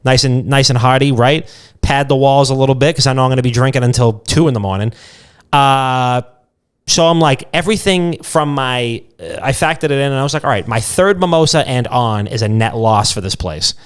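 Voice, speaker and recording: A man's voice: medium pitch, brisk, clean tone. A young man co-hosting a podcast, telling casual, jokey stories with plenty of swearing. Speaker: podcast co-host. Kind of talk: casual comedic podcast banter and storytelling.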